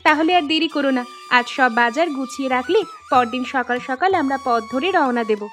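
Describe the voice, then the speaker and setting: A woman voicing cheerful, caring young married daughters in an animated Bengali family story, speaking in deliberate storybook phrases. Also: high-pitched, faint music behind. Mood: earnest, warm.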